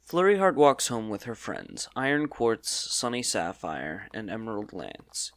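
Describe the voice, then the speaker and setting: Animated male storybook narrator, lowish voice. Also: a little slow, dry recording. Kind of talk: scripted dramatic storybook narration.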